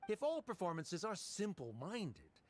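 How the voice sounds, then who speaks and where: A haughty scholar debater. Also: male voice, high-pitched, wildly swooping pitch, a little slow, darkish tone, slight background noise, full character voice. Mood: lecturing. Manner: lecturing, condescending, formal.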